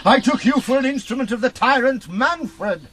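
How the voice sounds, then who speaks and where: An actor playing a proud, imperious knight in a gothic radio drama, declaiming in archaic language. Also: male voice, extremely high pitch, lively intonation, normal speed, a bit bright, full character voice. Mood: solemn, searching. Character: proud, imperious male knight, quick to anger, solemn.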